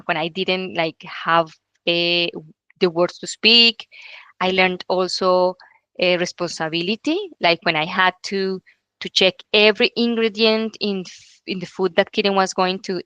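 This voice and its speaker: A woman's voice: medium pitch, fairly bright tone, clear, resonant tone, dry recording, highs cut off. A young woman from Colombia with a Colombian Spanish accent, a native Spanish speaker, telling a personal story in a talk, hesitant and full of filler sounds. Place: stage talk.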